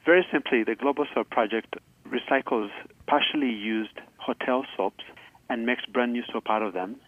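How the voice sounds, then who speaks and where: A man from Uganda, a guest on a radio interview, explaining earnestly with some halting restarts. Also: medium pitch. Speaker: guest, charity founder. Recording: phone line.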